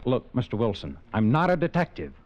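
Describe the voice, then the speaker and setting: A man's voice: mid-range voice, huge pitch swings, a little mellow. An actor playing a brash talent agent who narrates his own story and pushes insistently, in 1940s radio acting. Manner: brisk, pushy, insistent. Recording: dull old radio recording with faint music.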